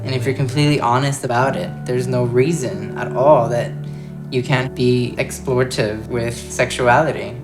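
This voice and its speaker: A man's voice: medium pitch, fairly even intonation, normal speed. A young man from South Florida speaking reflectively and earnestly in a documentary interview. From South Florida, United States. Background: soft music score.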